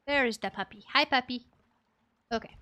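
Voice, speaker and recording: High-voiced woman streamer, playful. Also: normal speed, pushed and bright. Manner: playful, sympathetic asides. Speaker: game streamer. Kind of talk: casual live reaction commentary.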